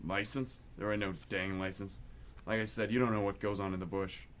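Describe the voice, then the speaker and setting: A man's voice: on the low side, fairly even intonation, a little fast, natural voice. A man playing a menacing, boastful, drunk war veteran who bullies his siblings, taunting in folksy tough talk. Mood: boastful, needling. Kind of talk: live amateur radio theatre acting. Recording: clearly noisy amateur radio drama recording.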